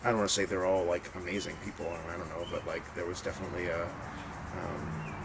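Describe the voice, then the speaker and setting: A man's voice: sits low, level tone, a little fast, gravelly. A young man from the suburbs of Boston, talking casually and reflectively as an interview guest, trailing off and restarting his sentences. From suburbs of Boston, USA. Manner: rambling and reflective, with many restarts. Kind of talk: casual conversation. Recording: noisy home recording.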